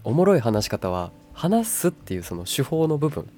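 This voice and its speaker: A young adult woman chatting casually as a radio co-host in a calm, boyish, wry manner. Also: extremely low pitch, moderate pace. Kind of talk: casual chat.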